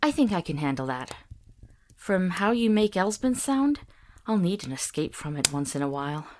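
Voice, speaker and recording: A woman playing a kind, tactful traveling companion in a visual novel, gentle and earnest. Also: on the low side, sing-song, normal speed. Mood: friendly.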